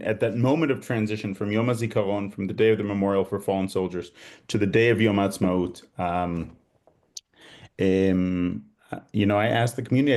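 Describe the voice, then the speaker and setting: Low-voiced Israeli man, halting talk. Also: darkish tone. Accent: Israeli, with an American tinge. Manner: hesitant, with many fillers and restarts. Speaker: rabbi giving a talk. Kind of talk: informal talk to a small group.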